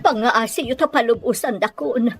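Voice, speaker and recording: A woman performs a troubled mother in an audio drama. She pleads respectfully in a high voice, with a close, dry sound. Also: normal speed, slightly rough, no echo, soft background music. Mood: pleading, distressed. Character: distressed mother pleading to be let go.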